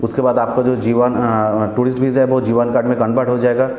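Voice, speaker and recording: A man in his forties, a channel host, explaining job openings to camera in a steady, repetitive way, with many fillers and restarts, on a lapel mic in a small office. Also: mid-range voice, fast, muffled recording. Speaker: visa agent and channel host. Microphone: clip-on lapel mic. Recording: echoey office with faint room noise.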